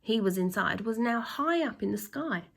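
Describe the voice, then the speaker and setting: A woman reading a children's story aloud like a teacher, with expressive, drawn-out emphasis and acted sound effects. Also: a little high, vocal fry.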